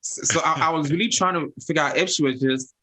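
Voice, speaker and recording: Animated young man with a bright husky voice. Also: on the high side, fast talker, rough recording. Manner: animated storyteller, laughs often, quotes others in a raised voice. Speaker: host. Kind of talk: casual panel chat.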